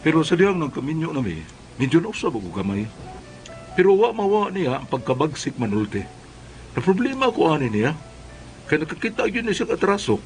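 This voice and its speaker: Put-upon husband n dad in radio comedy, highish swoopy voice, music behind. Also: male voice, big pitch swings, fairly quick, poor audio. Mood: resigned, confiding. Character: mild, long-suffering husband and exasperated father telling his story. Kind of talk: radio drama narration and comic dialogue.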